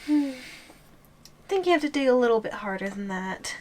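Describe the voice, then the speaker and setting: Woman acting a high-voiced, teasing, possessive giantess girlfriend. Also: big pitch swings, moderate pace, darkish tone, slight background noise. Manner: teasing, giggly, languid delivery. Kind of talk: ASMR audio roleplay.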